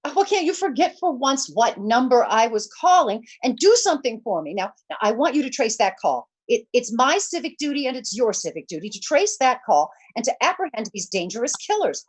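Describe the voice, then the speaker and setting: Radio thriller role: panicky, imperious wife, played by a woman, high swooping husky voice, flustered and stammering. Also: sing-song, fairly quick, hardly any pauses. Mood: exasperated. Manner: stammering, breathless repetitions, indignant. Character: agitated, imperious married woman, demanding and panicky on telephone. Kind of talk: old-time radio thriller acting.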